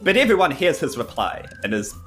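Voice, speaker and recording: A man's voice: on the high side, sing-song, brisk, a bit bright. A man improvises comic third-person narration for his tabletop game character. His delivery is wry and tongue-in-cheek, with faint music playing underneath. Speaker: tabletop podcast player.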